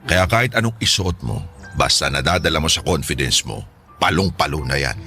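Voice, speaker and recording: Deep-voiced teasing Filipino father. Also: animated, a little fast, bright, forceful tone, faint music behind, exaggerated voice. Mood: fatherly advice. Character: teasing, strict, protective middle-aged father. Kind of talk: Filipino radio drama acting.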